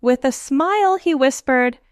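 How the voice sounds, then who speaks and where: A woman's voice: sits high, lively intonation, unhurried, no echo, studio quality. A woman reading a storybook aloud for young children, expressively and in simple phrases, as the host. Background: children's music with jingles.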